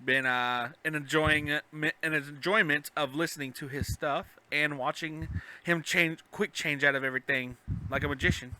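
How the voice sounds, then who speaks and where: Bright, forceful male podcast host. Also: fairly high pitch, fairly even intonation, moderate pace, a little hiss or hum. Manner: joking, teasing. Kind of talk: casual bantering chat.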